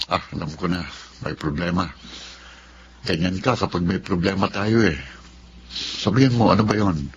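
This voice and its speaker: A man's voice: low voice, moderate pace, long pauses, muffled recording, a little hiss or hum. A man with a Filipino accent playing a hot-tempered, resentful husband in a radio drama. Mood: concerned.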